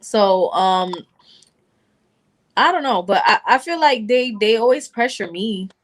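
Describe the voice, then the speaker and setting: A woman in her late twenties chatting casually in a group podcast, with an animated, joking, slangy way of talking. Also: mid-range voice, unhurried. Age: late 20s. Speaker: podcast panelist.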